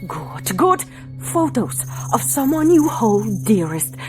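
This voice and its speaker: Slow, theatrical female palm reader. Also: on the high side, sing-song. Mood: ominous, urgent. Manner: dramatic, drawn-out pauses, hushed ominous passages, formal phrasing. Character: theatrical, commanding female storefront palm reader, mystical and coaxing. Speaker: fortune teller. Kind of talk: audio drama acting. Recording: music under the voice.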